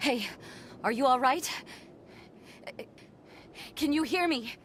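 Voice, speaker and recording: A woman's voice: high voice, animated, slow pace, slight background noise, heavily acted. A woman playing a resolute, protective female commander and guarded older sister in a video game cutscene, commanding and earnest with a teasing streak. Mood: concerned, calm. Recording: loud music behind the voice. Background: loud game music.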